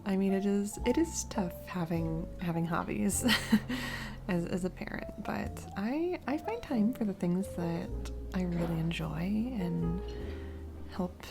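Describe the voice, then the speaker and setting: A woman playing a warm single mom on a first date in a romantic audio roleplay, speaking hesitantly and encouragingly with soft giggles. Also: fairly low pitch, animated, slow pace, creaky, exaggerated voice. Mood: tender, heartfelt. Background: background music, restaurant date ambiance.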